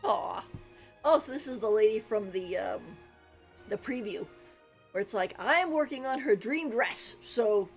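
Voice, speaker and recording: A woman with a fairly high voice hosts a game livestream. She chats casually and thinks out loud, with faint music behind her. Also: lively intonation, unhurried. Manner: informal, thinking aloud. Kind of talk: casual game stream chat.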